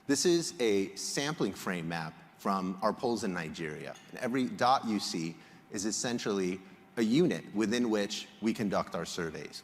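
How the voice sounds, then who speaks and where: A man from Egypt giving a prepared public presentation as a researcher, explaining in a measured way with emphatic phrasing. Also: low voice. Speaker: conference speaker, polling researcher. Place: large indoor hall, on stage. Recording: reverberant hall sound.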